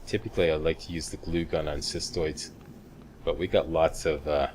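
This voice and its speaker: A man's voice: rumbling low, steady tone, normal speed. A man giving casual video game walkthrough commentary, laid-back, in short step-by-step instructions. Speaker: gaming video host. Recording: clear background game noise. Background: video game ambience.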